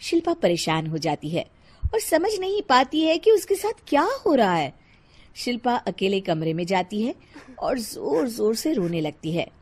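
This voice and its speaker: A woman's voice: medium pitch, very animated, normal speed, a little mellow, slightly rough. A woman narrating a scripted cartoon moral story.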